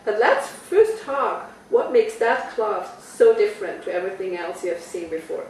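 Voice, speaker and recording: A woman's voice: mid-range voice, lively intonation, moderate pace, a little mellow, a little hiss or hum. A woman with an Austrian accent leads a casual product talk in a private living room. She explains step by step and often turns to her audience with questions. The sound is roomy and echoey, and she is caught from some distance away. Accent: Austrian German. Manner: chatty and explanatory, asks listeners questions. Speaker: product demonstrator. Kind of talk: home party product talk.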